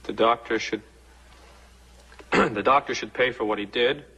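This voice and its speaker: Husky, hesitant law student. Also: male voice, medium pitch, pushed and bright, highs cut off, heavily acted. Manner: halting, careful answers. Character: hesitant young male law student under questioning.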